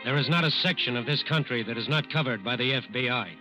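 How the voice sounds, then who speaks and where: An actor as an authoritative crime-drama narrator, emphatic and declamatory in 1940s radio style. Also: male voice, mid-range voice, fast, close to an everyday voice. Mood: urgent, grave. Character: urgent, authoritative male crime-drama announcer. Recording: muffled old-time radio recording. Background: music.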